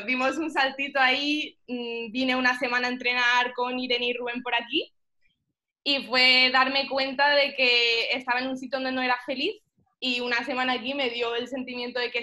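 A woman's voice: fairly high pitch, bright, forceful tone. A young woman raised mostly in Egypt, chatting casually as an interview guest. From Middle East, mostly Egypt. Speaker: powerlifting athlete and coach, podcast guest.

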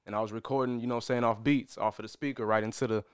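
A man's voice: mid-range voice, steady tone, racing, smooth. A man in his thirties, a rapper and filmmaker, telling stories about his start in a loose, casual way with plenty of swearing. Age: 30s. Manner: loose, profane storytelling. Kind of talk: casual podcast chat.

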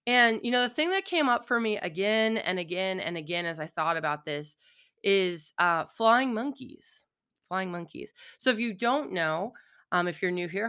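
Woman podcast host, hoarse from allergies, crisp, bright tone, casual and chatty. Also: fairly low pitch. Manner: chatty, frequent "right?" and "um". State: hoarse, froggy voice. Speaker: podcast host, philosophical counselor. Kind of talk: solo podcast monologue.

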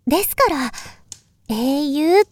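A woman playing a polite, earnest younger sister in a voice drama, speaking formally and gravely. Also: sits high, lively intonation. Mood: earnest, solemn. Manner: formal, deferential, measured. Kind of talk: Japanese voice drama acting.

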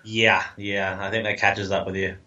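A man's voice: low-pitched, level tone, bright, forceful tone, highs cut off. A man in his mid-thirties co-hosting a podcast, tossing in jokes and wisecracks. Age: 30s. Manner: jokey, quick with wisecracks. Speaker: podcast co-host. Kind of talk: casual podcast chat.

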